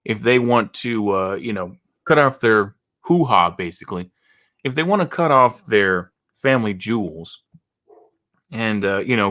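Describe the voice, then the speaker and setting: A man's voice: medium pitch, a bit bright. A man hosting a reaction video, talking in a rambling, mocking way with many restarts and a Texan accent. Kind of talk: casual commentary reacting to a video.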